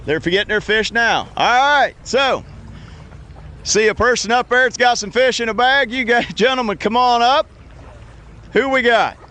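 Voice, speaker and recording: A man hosting a youth fishing tournament weigh-in outdoors at a lake, announcing loudly in an easygoing, joking way. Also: very high-pitched, normal speed. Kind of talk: live event announcing.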